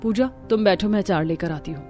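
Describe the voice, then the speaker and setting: A woman's voice: mid-range voice, quick pace, dark tone, natural voice. Cartoon family drama: patient village-raised peacemaker wife played by a woman, coaxing and soothing, music underneath. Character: patient, gentle village-raised elder sister-in-law, peacemaker. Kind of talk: Hindi animated family-drama story acting.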